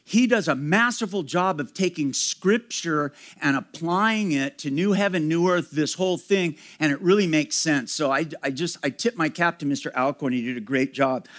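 A man's voice: a little high, normal speed, few pauses, fairly bright tone. A man preaching a sermon to his congregation, earnest and emphatic, speaking straight to his listeners. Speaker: pastor.

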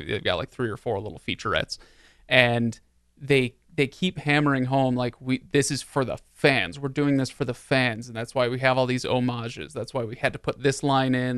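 A man with a medium-pitched voice co-hosts a casual film discussion. He rambles and often breaks off to restart his thought. The recording is dry, with barely any room sound. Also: smooth, no room sound. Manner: rambling, self-interrupting. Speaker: podcast co-host.

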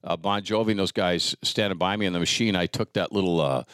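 Middle-aged man, lowish pitch, very dry recording, podcast host, rambling casual storytelling, self-deprecating. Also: fast, fairly bright tone, no room sound. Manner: rambling, self-deprecating, breaks off mid-thought.

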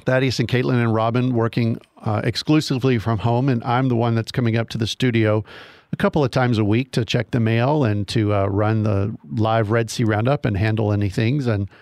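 A man's voice: medium pitch, fairly even intonation, a little fast, darkish tone, dry recording. A man chatting casually on a radio show from the studio, easygoing and self-deprecating. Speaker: radio station staff, co-host.

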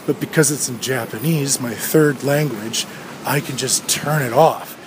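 A man's voice: mid-range voice, a little slow. Profane ranting man out on the street. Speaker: podcast host. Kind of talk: solo rambling podcast rant. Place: outdoors on a street. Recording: street noise with passing traffic.

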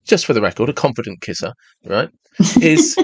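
A man's voice: mid-range voice, big pitch swings, fairly quick, slightly rough, no room sound. A man in his forties hosting a podcast, talking animatedly and often restarting his words as he reasons aloud. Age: 40s. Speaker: podcast host. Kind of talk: casual conversation.

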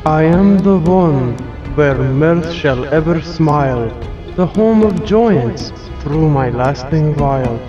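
A man performs a stately poet declaiming ornate verse in a highish voice. The delivery is grand and slow. The sound is a bit dull, with music clearly behind. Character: stately male reciter of ornate poetic couplets. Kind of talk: declaimed verse in an audio drama.

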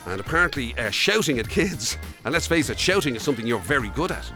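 A man's voice: medium pitch, big pitch swings, quick pace, pushed and bright, faint music behind. An Irish man co-hosting a radio show, chatting informally and teasing, close to a studio microphone. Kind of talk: casual chatty radio presenting.

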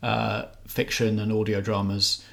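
A man's voice: fairly low pitch, flat delivery, a little slow, gravelly. A man co-hosting an arts podcast, explaining things in casual chat with dry humour. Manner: explanatory, dryly humorous. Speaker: arts podcast co-host and film reviewer.

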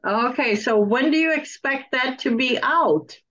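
Higher-voiced woman interviewer, slightly dull audio. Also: unhurried, a bit bright. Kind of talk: casual conversational interview. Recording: dull lo-fi sound.

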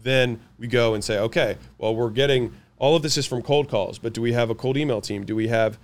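A man whose first language is French, answering interview questions about running companies, confident and quick, listing his points one after another. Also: mid-range voice. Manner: fast, confident, lists points in quick runs. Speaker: guest, business owner.

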